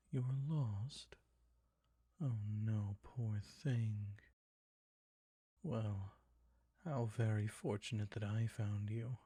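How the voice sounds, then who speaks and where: A man playing a suave, predatory ancient vampire count in an intimate roleplay audio drama, soft and breathy, courtly and condescending. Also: lowish voice, dry recording, clean studio sound, heavily acted. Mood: coy, predatory. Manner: courtly, condescending, slow and savoring.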